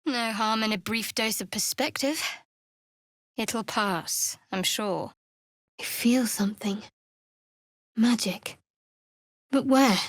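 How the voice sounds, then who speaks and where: A woman playing a guarded, wry fantasy cleric adventurer in a video game, with a dry, measured, faintly sardonic delivery. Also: on the high side, dry recording. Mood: measured, reflective, then curious, watchful.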